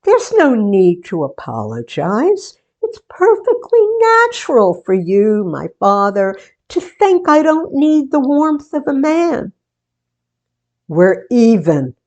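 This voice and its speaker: A woman playing a sentimental, playful daughter and hostess in a 1940s-style radio theatre monologue, with deliberate, theatrical delivery. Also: a little high, huge pitch swings, a little mellow, muffled recording, heavily acted. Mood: exasperated, teasing. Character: sentimental daughter, book-show hostess, playfully resisting marriage.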